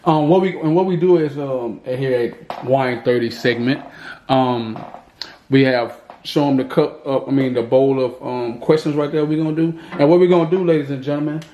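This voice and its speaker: A man hosting a casual podcast chat, talking in an easygoing, halting way with many fillers and restarts. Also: medium pitch, dark tone, reverberant, slight background noise, low-quality recording. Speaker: podcast host.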